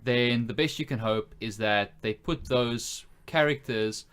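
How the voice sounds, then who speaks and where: A man's voice: mid-range voice, measured pace, fairly bright tone, clean tone. A man co-hosting a podcast in casual conversation, thinking aloud and hedging as he builds his point. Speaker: podcast co-host. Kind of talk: casual chat about films and games.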